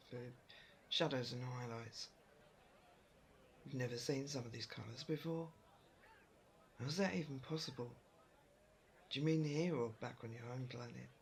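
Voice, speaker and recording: A man's voice: medium pitch, a bit bright. A man playing a curious, helpful storekeeper from another world, easygoing and explanatory in an audio roleplay. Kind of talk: roleplay audio drama addressed to the listener.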